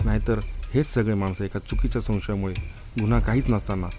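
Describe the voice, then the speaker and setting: A man's voice: steady tone, fairly quick, warm tone, lightly acted. An actor playing a sorrowful old village widower who recounts how he lost his wife, in a heavy, mournful way. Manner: low, heavy, mournful telling. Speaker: village man in a short drama. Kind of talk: drama monologue recounting the past. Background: music.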